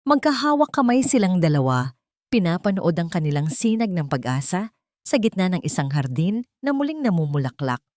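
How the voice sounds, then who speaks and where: A woman narrating a scripted audio drama story in a sentimental, earnest way, with measured phrasing and dramatic pauses. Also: deep voice, a little mellow, no echo, clean studio sound.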